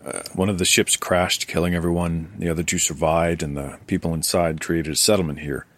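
A man's voice: sits low, steady tone, a little fast, a bit bright. An actor playing a guarded, weary male survivor and chronicler in an audio drama, speaking in a hesitant, conversational way. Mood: grave, explaining.

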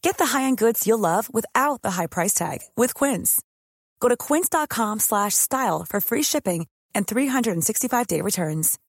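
A woman's voice: a little high, animated, normal speed, dry recording. Persuasive woman reading a dry ad. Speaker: ad narrator. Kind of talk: scripted commercial read.

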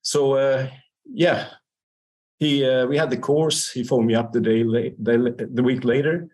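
A man's voice: mid-range voice, steady tone, unhurried, a little mellow. A Swedish man in his sixties, a native Swedish speaker, telling his story in an interview with many fillers, restarts and laughs. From Sweden. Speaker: guest, company founder. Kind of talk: casual interview talk.

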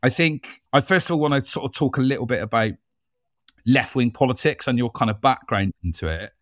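A man hosting a relaxed interview, friendly and matey as he prompts his guest with questions. His voice sits at a middling pitch, recorded close and dry with no room sound. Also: fairly quick, dry recording. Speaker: podcast host. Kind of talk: casual interview chat.

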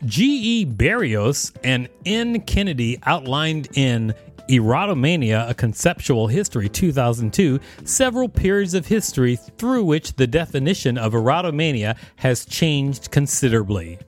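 A man's voice: mid-range voice, very animated, moderate pace, faint music behind. A man co-hosting a podcast, reading an article aloud with playful, jokey asides. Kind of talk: casual read-aloud with asides.

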